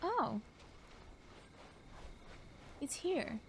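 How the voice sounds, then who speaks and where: A woman's voice: sits high, big pitch swings, extremely slow, vocal fry, a little hiss or hum. A young woman giving casual let's play commentary, thinking aloud in a giggly, self-deprecating way. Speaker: streamer playing a game. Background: game music.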